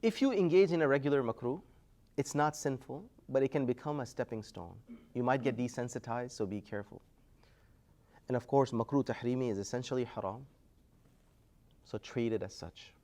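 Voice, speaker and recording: A male teacher lecturing a room of students in a relaxed, conversational way. He keeps asking the class questions and climbs higher and louder when he wants them to call out the answer. His pitch sits at a typical middle level for a man. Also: a little fast, long pauses. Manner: energetic, quizzing the class, rising loudly to prompt answers. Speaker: Islamic studies teacher.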